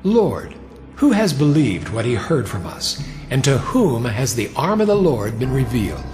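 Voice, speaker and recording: A man's voice: medium pitch, very animated, unhurried. Ancient male prophet, solemn declamation with big swings, music behind, audio drama. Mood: lamenting. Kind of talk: audio drama scripture recitation.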